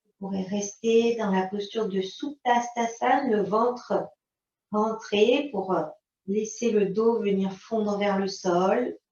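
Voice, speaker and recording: A woman teaching yoga, giving calm, unhurried guidance in short phrases. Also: mid-range voice. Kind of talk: guided yoga and relaxation instruction. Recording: roomy, distant mic, dull sound.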